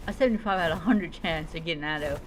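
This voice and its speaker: A woman's voice: low voice, very fast, a little hiss or hum, rough recording. A woman doing in-character commentary as she plays a roleplay adventure game, musing dramatically.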